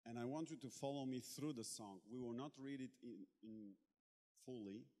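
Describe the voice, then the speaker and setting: Slow, halting Bulgarian-born male preacher. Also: medium pitch, mellow. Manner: halting, searching for words, playful. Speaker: guest preacher. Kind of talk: sermon in English with interpreter. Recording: long hall reverb.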